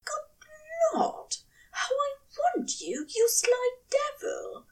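A woman voicing a tender, yearning male angel in an audio fiction reading, with a breathy, strained, pleading delivery. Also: very high-pitched, sing-song, very slow, distant mic, pitched above the performer's own voice, full character voice. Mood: breathless desire.

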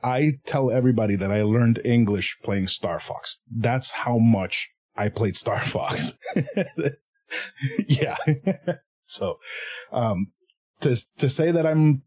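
Man, casual and laughing. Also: mid-range voice. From Puerto Rico. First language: Spanish. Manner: laughs often, swears freely, animated. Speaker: podcast guest. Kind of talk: casual chat.

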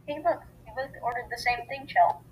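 A woman playing a calm, offhand new girl at school in an audio story. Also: fairly high pitch, moderate pace, slightly rough, rough recording. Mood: casual, dismissive. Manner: cool, offhand. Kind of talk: acted dialogue in an audio story.

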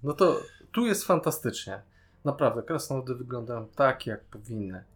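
A man co-hosting a podcast, giving a casual, enthusiastic series review with hesitant pauses. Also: mid-range voice, lively intonation. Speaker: podcast co-host.

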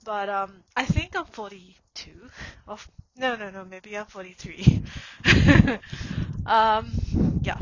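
A woman's voice: mid-range voice, no echo, muffled recording. A woman in her forties musing aloud for a reflective podcast while walking outdoors on a snowy street. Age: 40s. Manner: slow, musing and meandering. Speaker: podcast host.

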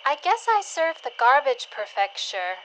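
A woman playing a curious, wistful scavenger in an audio drama, speaking in a gentle, hesitant, questioning way. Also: sits high, big pitch swings, a little slow, a little hiss or hum, exaggerated voice, low-quality recording. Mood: playful, musing.

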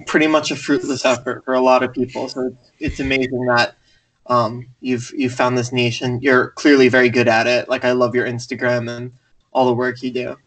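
A man's voice: mid-range voice, a bit bright, rough recording. Friendly, enthusiastic male podcast host. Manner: friendly, filler-heavy, enthusiastic. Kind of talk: casual interview.

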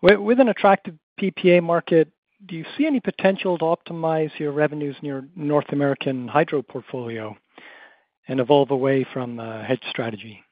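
A man's voice: a little high, fairly even intonation, a little fast, no room sound. Man on a tinny, narrow phone line. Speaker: financial analyst asking questions. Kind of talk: earnings call questioning. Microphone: telephone dial-in.

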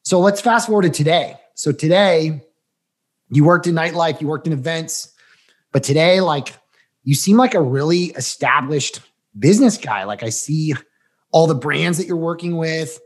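A man's voice: fairly high pitch, normal speed. A man in his thirties co-hosting a casual interview, talking with nostalgic enthusiasm. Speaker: podcast co-host.

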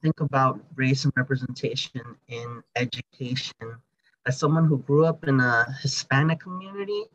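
A man talking casually and reflectively as a podcast guest, halting often with "like", on slightly lo-fi audio. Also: mid-range voice, fairly bright tone. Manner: hesitant, halting, many "like"s. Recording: dull, slightly lo-fi microphone audio.

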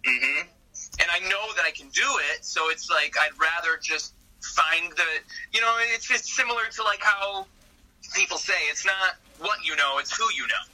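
A man hosting a casual chat, talking in an expressive, animated way. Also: fairly high pitch, normal speed, far from the mic, poor audio. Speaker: podcast host.

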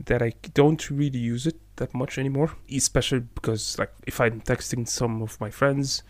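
Relaxed man, Arabic first language. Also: mid-range voice. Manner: relaxed, laughs often, measured with pauses. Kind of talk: casual conversation.

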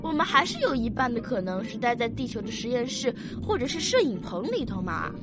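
A woman playing a bright, curious child on a spaceship in a sci-fi audio drama, asking questions precisely and excitably. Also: a little high, fairly quick. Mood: skeptical. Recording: loud music bed behind voice.

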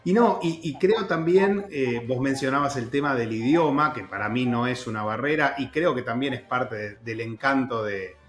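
A man hosts a relaxed conversation, asking open questions and encouraging his guest. His voice sits in a mid register in a roomy space, with faint music beneath. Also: medium pitch, normal speed, hardly any pauses. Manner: relaxed, affirming, uses vos. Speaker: host. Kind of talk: casual interview.

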